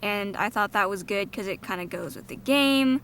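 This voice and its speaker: A woman's voice: mid-range voice, moderate pace, fairly bright tone, slight background noise. A woman co-hosting a podcast, giving casual film critique in a frank, informal manner. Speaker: podcast co-host.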